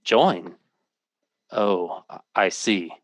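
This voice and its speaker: An earnest, troubled man in an old-time radio drama. His voice sits a little low and is recorded dry. He speaks with serious intensity. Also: lively intonation, drawn-out words, a bit bright, no room sound, heavily acted. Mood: questioning, alarmed. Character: earnest, troubled man telling a fantastic story.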